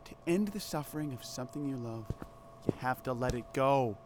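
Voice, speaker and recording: Man playing a blunt, animated teenage rebel. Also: mid-range voice, a little fast, background noise, close to an everyday voice. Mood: bitter, earnest. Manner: blunt, sarcastic, earnest. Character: defiant teenage boy rebel leader. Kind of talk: audio drama acting.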